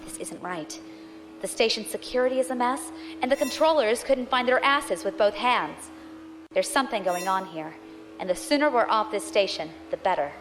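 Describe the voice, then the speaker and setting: A woman's voice: mid-range voice, expressive, normal speed, over music, close to an everyday voice. A woman playing a tough, foul-mouthed female space pirate leader who gives orders in a brash, bossy way. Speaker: crew boss.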